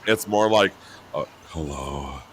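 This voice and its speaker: A man with a deep voice talking casually as a guest on a chat show, laughing often. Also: expressive, takes it slowly, very noisy. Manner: laughing, breaks into high shouty voice when mimicking himself. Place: New Mexico home.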